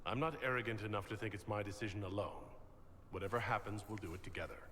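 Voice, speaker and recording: A man's voice: fairly low pitch, quick pace, sharp tone, in a large hall. An actor playing a modest male party leader in a fantasy video game, sincere and plain-spoken. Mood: sincere, steady. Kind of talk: scripted video game dialogue. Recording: faint noise and ambience behind.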